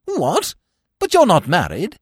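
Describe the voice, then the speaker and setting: Here is an excitable young English gentleman from a comic storybook. His upper-class voice rides high and swoops wildly as he dithers. He rambles in flustered slang, recorded close and dry. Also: unhurried, breathy edge, no echo, full character voice. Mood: nervous, eager. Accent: British upper-class.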